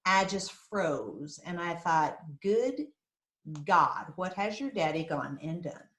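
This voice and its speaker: A woman's voice: fairly low pitch, sing-song, slow, fairly bright tone, roomy sound, heavily acted. A woman acting a crazy, possessive, folksy small-town mother who is fond but prickly, in a screenplay table read. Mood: bitter storytelling. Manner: folksy, dramatic storytelling with teasing jabs. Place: remote virtual table read, roomy-sounding space.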